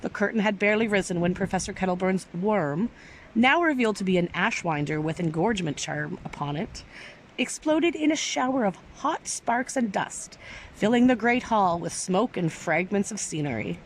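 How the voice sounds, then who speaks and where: Female storyteller, medium pitch, expressive and theatrical read-aloud delivery, noticeably noisy home-style recording. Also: moderate pace. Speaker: storyteller reading aloud to an audience. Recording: clearly noisy background.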